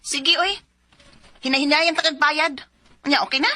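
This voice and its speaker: Shrill, very high-pitched defiant wife played by an actress. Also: female voice, fairly even intonation, normal speed, music in the background, natural voice. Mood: defensive. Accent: Cebuano Filipino. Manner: shrill, emotional, combative. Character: defiant wife cornered over debt and deceit. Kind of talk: Filipino radio drama acting.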